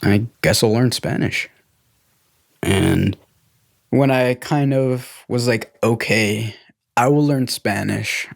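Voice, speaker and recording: A man's voice: medium pitch, slow pace, gravelly, long pauses, no room sound, clean studio sound. A young American man talking candidly and informally about himself in a reflective personal conversation. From United States, born in Guatemala. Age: young adult. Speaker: podcast co-host telling his own life story.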